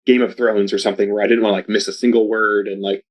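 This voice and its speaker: A man's voice: fairly low pitch, little pitch movement, fast talker. A man speaking as a podcast guest in casual chat, rambling and upbeat, filling his talk with "like". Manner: rambling, heavy use of "like", upbeat. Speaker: podcast guest, video advertising researcher.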